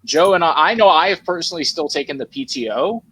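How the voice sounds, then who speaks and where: Man, somewhat high pitch, co-host, casual panel talk, video call. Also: expressive, quick pace, a bit bright, a little hiss or hum, poor audio.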